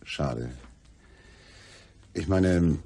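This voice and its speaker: An actor playing a cynical, flippant newspaper reporter in a crime radio play, with sardonic delivery. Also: male voice, very deep voice, drawn-out words, vocal fry, mellow, exaggerated voice. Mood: probing. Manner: sardonic, dry wisecracking.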